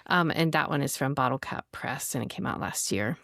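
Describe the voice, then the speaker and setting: A woman's voice: very deep voice, a little fast, a bit bright, dry recording. A woman speaking as a guest poet in a literary conversation about poems, sometimes reading verse aloud.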